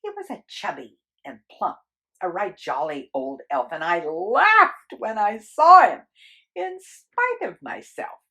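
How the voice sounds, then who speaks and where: An older woman reads verse aloud like a storyteller. Her pitch moves widely and expressively at a middle register. She is theatrical, using dramatic pauses and swinging between hushed and loud delivery. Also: sing-song. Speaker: storyteller reading aloud to viewers. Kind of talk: dramatic read-aloud of a holiday poem.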